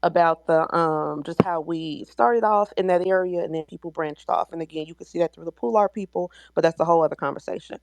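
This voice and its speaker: A woman's voice: lowish voice, a little fast, gravelly, few pauses, dry recording. A woman with an American accent speaking as a guest in an online discussion, explaining things in an assertive, teacherly way.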